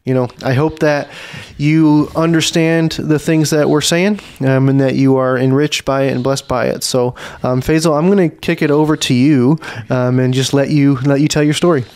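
A man in his thirties hosting a podcast interview, gentle and filler-heavy, at a boom-arm mic in a small studio room. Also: steady tone, moderate pace, few pauses, clean tone, no echo. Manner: gentle, with frequent ums. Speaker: podcast host.

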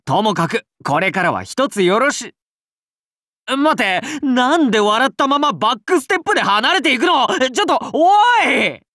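A man's voice: sits high, sharp tone, no echo, studio quality, full character voice. An actor playing a friendly young paladin king in a game, casual and bantering like a cool older brother. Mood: flustered. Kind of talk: scripted game character dialogue.

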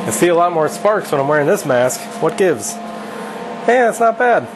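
A man's voice: on the high side, sing-song, moderate pace, background noise. Joking man explaining welding in a garage. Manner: joking, offhand, self-deprecating. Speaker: channel host teaching welding. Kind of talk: casual instructional chat. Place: home garage workshop, indoors.

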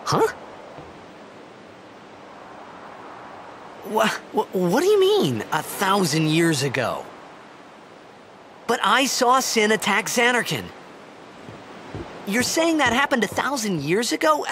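A man playing a brash, bewildered teenage athlete in a video game, youthful and expressive, sometimes hesitant. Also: sits high, slow pace, slight background noise, heavily acted. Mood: disbelieving. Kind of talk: video game dialogue and narration.

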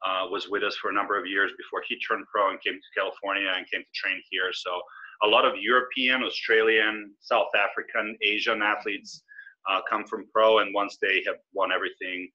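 A man's voice: on the low side, flat delivery, pushed and bright. Man in his forties from Serbia. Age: 40s. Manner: easygoing, measured and explanatory. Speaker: guest. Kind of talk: casual interview. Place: indoor room with brick wall. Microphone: video call webcam. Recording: slightly lo-fi video call audio.

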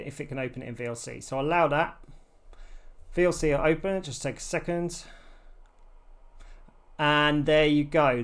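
Tech tutorial presenter: male, forties, voice a little on the high side, informal instructional delivery. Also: smooth. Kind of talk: casual step-by-step screen tutorial.

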